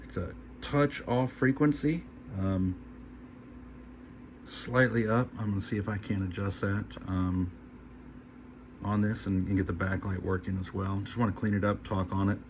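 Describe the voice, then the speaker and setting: A man's voice: on the low side, steady tone, unhurried. A man giving a casual, unscripted hands-on video demonstration, plain-spoken and hesitant, in short trailing phrases. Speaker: hobbyist presenter of a radio repair video. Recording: noticeable background hum and noise.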